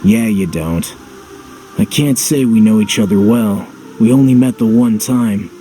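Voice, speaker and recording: An actor playing a brooding, world-weary young hero in a video game, terse, dry and understated. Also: male voice, fairly low pitch, a little slow, warm tone, close to an everyday voice. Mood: dry, pensive. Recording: background music. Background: game music.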